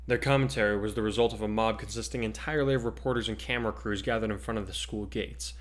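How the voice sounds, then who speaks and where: A man's voice: lowish voice, fairly even intonation, fast talker, roomy sound. A man who hosts and narrates, moving between casual chat and dramatic story reading.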